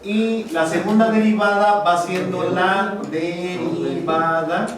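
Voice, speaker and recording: Chatty Mexican teacher in an echoey classroom. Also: male voice, sits high, fairly even intonation, slow pace, crowd noise. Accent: Mexican Spanish. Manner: chatty, joking asides. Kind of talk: university math lecture. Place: large classroom. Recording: echoey classroom, distant, students murmuring.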